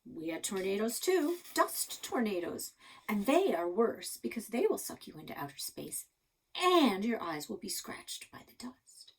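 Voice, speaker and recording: A woman's voice: mid-range voice, very animated, unhurried, slightly rough, heavily acted, low-quality recording. A woman voicing a boastful, stubborn and sulky young boy in a children's storybook.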